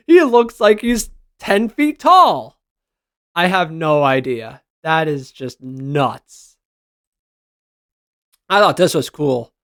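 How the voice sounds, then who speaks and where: A man's voice: a little high, animated, takes it slowly, pauses often, a bit bright, clear, resonant tone, no echo, studio quality. A man giving casual, enthusiastic reaction commentary as a gaming video creator, with slangy exclamations.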